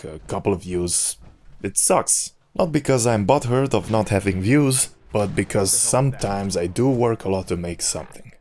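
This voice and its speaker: A man's voice: fairly low pitch, darkish tone. A man speaking candidly and with opinions, in a personal vlog monologue to his subscribers as a YouTuber. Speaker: YouTuber who makes game videos.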